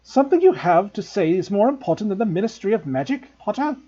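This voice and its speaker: A man's voice: sits high, big pitch swings, fast, mellow, lo-fi sound, voice pushed up. A man voicing a stern, strict older woman professor who speaks in a clipped, commanding way.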